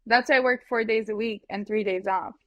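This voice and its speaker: A woman's voice: on the high side, steady tone, fairly quick, no room sound, dull audio. A young woman from California with a Californian accent, a guest chef talking in a friendly, enthusiastic way, recorded on her phone. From San Fernando Valley, California. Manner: friendly, enthusiastic, says "like" and "you know" a lot. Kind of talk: casual chat.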